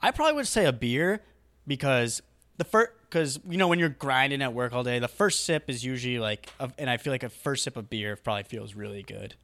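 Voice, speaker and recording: A man's voice: medium pitch, lively intonation, brisk, a bit bright, no room sound. A young man co-hosting a podcast who rambles and corrects himself as he thinks aloud, with a slightly congested voice. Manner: rambling, self-correcting, musing. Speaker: podcast co-host. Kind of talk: casual chat.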